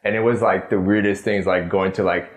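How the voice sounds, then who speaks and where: A man's voice: sits low, fairly even intonation, brisk. A man, a native French and Creole speaker from Miami, telling stories casually as an interview guest and acting out what people said. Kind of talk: casual conversational storytelling.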